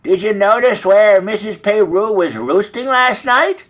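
A man as a gossipy storybook hen, very high falsetto, fussy, prim, emphatic. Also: lively intonation, unhurried, a bit bright.

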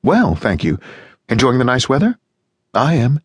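A man voicing a guarded gentleman who keeps a forced, amused politeness in an audiobook. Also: medium pitch, wildly swooping pitch, moderate pace, husky, no room sound, voice pushed up. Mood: forced cheer. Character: guarded polite Englishman, forcing cheer. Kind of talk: audiobook reading.

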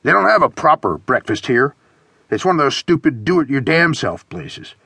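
A man's voice: medium pitch, sing-song, moderate pace, gravelly, pushed and bright, slightly rough, no echo, pitched above the performer's own voice, heavily acted. A man voicing a pushy, bored, childish friend in audiobook dialogue, whining and exclaiming. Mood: indignant complaining.

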